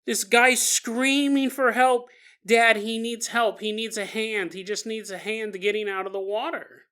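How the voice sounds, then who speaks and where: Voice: medium pitch, fairly even intonation, unhurried, fairly bright tone, smooth, voice pushed up, close to an everyday voice. A storyteller voicing a terrified three-year-old girl who pleads insistently for help, repeating herself. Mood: desperate pleading.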